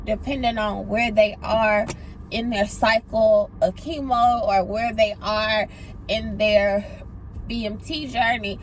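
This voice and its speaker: A woman's voice: mid-range voice, fairly even intonation, takes it slowly, a little hiss or hum, low-quality recording. A young woman, a pediatric nurse and vlogger, talking casually to her viewers with a stuffy, congested voice. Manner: chatty, drawling, addresses viewers as y'all. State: congested, sniffling. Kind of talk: casual vlog chat. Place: car and errands.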